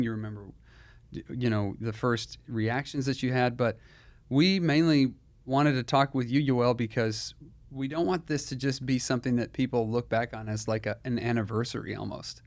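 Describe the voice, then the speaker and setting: A man hosting a conversational interview, asking measured questions and giving short back-channel replies. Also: medium pitch, darkish tone, dry recording. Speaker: interview host. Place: Texas.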